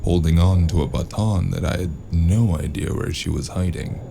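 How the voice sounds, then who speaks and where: Man acting gravelly, deep-voiced sardonic noir investigator. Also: moderate pace, noisy background. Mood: tense narration. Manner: dry, gruff, sarcastic. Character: world-weary sardonic male investigator narrating his noir case. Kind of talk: first-person noir audio drama narration and dialogue.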